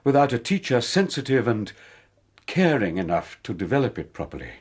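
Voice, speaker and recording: An actor playing a polite, earnest older gentleman with a British accent, a father and employer. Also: mid-range voice, sing-song, brisk, slightly rough. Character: courteous older English gentleman, widowed father. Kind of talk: radio drama acting.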